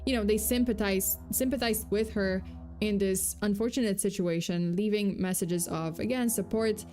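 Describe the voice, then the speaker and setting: A woman's voice: medium pitch, fairly even intonation, moderate pace, warm tone, faint music behind. A young woman with a light Polish accent, hosting a casual true-crime livestream, chatting loosely with lots of fillers and restarts. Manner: chatty, full of fillers and self-corrections, reads chat aloud. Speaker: VTuber host.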